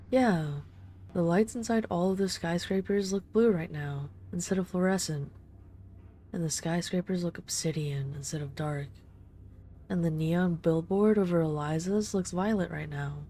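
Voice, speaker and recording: Ageless female vampire for intimate audio roleplay, played by a woman: low, dark-toned, soft, unhurried, tenderly superior. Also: slight background noise, natural voice. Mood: musing. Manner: unhurried, intimate, gently condescending. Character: ageless female vampire, boss's daughter, tender, wistful and commanding.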